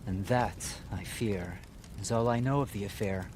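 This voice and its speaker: An actor playing a solemn, measured man who recounts a war formally in a fantasy game. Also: fairly low pitch, darkish tone, a little hiss or hum, close to an everyday voice. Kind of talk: dramatic storytelling in a fantasy game cutscene.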